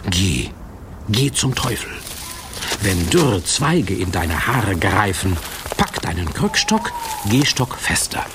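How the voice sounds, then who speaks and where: A wry, folksy fairy-tale storyteller over clear background ambience. He is slow and theatrical, his pitch swinging widely as he narrates with conspiratorial drama. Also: very animated, background noise, exaggerated voice. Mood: urgent, suspenseful. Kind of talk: dramatic radio play narration.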